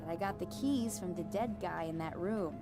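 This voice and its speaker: A woman's voice: fairly low pitch, normal speed, close to an everyday voice. An actress playing a tough, wary female survivor in a thriller game, dry, sardonic and conversational. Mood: wry, wary. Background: music.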